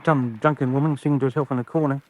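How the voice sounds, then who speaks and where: A man's voice: mid-range voice, steady tone, very fast, darkish tone, no echo, lightly acted. An actor playing a cheery, cheeky working-class milkman, chatty and matey in a radio drama. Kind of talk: old-fashioned radio drama acting.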